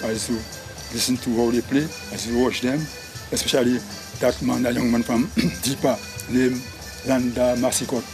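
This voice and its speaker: A man's voice: mid-range voice, steady tone, normal speed, poor audio. A man, plainly recalling how he learned to play. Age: 70s. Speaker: folk musician. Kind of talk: interview testimony. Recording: music playing underneath.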